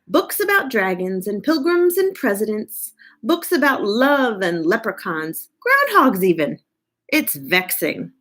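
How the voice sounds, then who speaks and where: A woman reading a picture book aloud to children in an expressive, deliberate way, as a children's librarian. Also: mid-range voice. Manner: animated, drawn-out, deliberate delivery.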